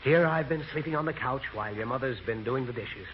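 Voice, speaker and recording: A man's voice: medium pitch, fairly quick, natural voice. A man playing a frantic, theatrical father in a 1940s radio comedy. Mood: relieved, sweet. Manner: theatrical, exaggerated. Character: excitable, frantic father of a little girl. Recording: hissy, muffled old radio broadcast.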